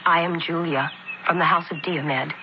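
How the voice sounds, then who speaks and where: A woman's voice: low voice, steady tone, normal speed. An actress playing a bold, teasing young Roman noblewoman in a radio drama, pert but formal. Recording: muffled, very noisy radio broadcast.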